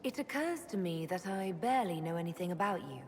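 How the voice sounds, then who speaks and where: A woman acts as a calm, inquisitive fantasy game heroine. Her voice sits fairly low, with measured, direct phrasing. It sounds in a reverberant hall with clear ambient noise behind. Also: natural voice. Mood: calm, curious. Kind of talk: video game dialogue acting.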